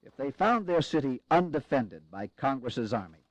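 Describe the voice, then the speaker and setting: A man playing a pragmatic, weary army commander in a historical audio drama, speaking in a measured, grave and formal way with wry resolve. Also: medium pitch, big pitch swings, no room sound, muffled recording. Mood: resigned, explaining.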